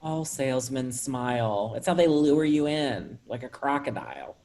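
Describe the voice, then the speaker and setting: A woman's voice: a bass voice, lively intonation, normal speed, mellow. A woman playing a blunt, callous and mocking office worker in a staged play reading.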